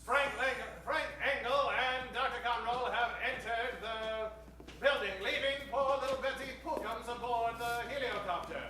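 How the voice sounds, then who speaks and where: A man's voice: very high voice, measured pace, bright, forceful tone, heavily acted. A man narrating an improvised comedy radio play as host, in a dramatic old-time radio style. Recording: very echoey stage, distant mic, faint music.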